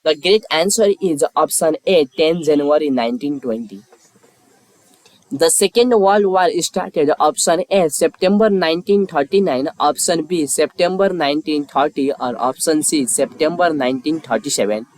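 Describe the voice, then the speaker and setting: A man hosting a quiz, reading scripted questions and lettered options in a measured, formulaic way. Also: on the high side, a little mellow, low-quality recording. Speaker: quiz host.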